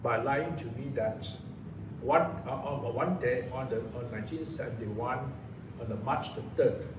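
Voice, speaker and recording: A man in his seventies from Malaysia, giving a personal testimony in a halting way, often restarting and correcting himself. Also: medium pitch. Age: 70s. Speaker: interviewee recounting his past. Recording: roomy echo from a distant mic, noisy background.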